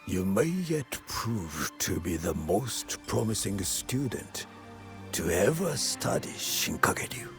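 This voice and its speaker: An actor playing a grave older sword master in a video game cutscene, speaking in a formal, deliberate and weighty manner. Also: male voice, fairly low pitch, big pitch swings, gravelly, a bit bright, soft background music, heavily acted. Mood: proud, reflective.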